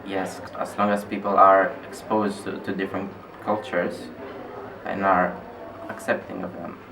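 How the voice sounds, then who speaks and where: A man from Greece, speaking in a measured way and repeating words as he thinks, in an interview. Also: deep voice, level tone, gravelly. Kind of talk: personal reflection in interview. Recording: distant mic with loud ambient noise.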